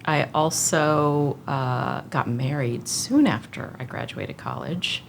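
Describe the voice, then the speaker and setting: A woman's voice: sits low, slow pace, gravelly, slight background noise. A woman in her forties, a podcast guest, telling her life story in an earnest, reflective way with frequent fillers. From United States. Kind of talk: casual conversational interview.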